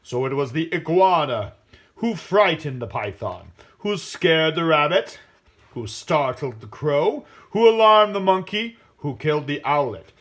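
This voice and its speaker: A man acts out a commanding lion king from an animal folk tale. He addresses his council in drawn-out, emphatic proclamations, with a highly animated melody. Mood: grave, proclaiming.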